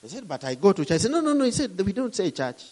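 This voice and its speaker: A man's voice: sits high, very animated, racing, a little mellow. A middle-aged man from Kenya with a Kenyan accent, preaching a sermon with emphatic, rising and falling delivery.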